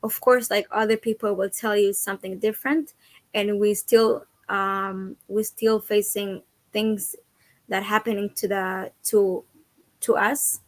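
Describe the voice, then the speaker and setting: A woman's voice: medium pitch, level tone, unhurried, no echo. A young woman from Israel, an activist and educator, speaking earnestly as an interview guest and searching for her words. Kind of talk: conversational interview.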